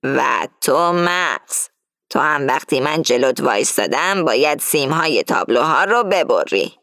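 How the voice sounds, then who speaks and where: A woman reading as an older female gang leader who lays out a heist plan firmly and deliberately. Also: sits low, very animated, fairly bright tone, no echo, studio quality, pitched below the performer's own voice, heavily acted. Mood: scheming, instructing. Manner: firm, explaining slowly and deliberately.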